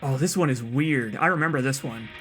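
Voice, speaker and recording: A man's voice: mid-range voice, a little fast, over music. A man with a soothing voice giving casual, rambling commentary as he plays a game, often restarting his sentences. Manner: rambling, chatty, frequent restarts and asides. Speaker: let's play streamer.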